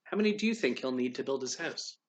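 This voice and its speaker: A man reading a storybook aloud to children in an expressive, teacherly way, putting questions to his listeners. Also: mid-range voice, a little hiss or hum. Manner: pauses to quiz young listeners.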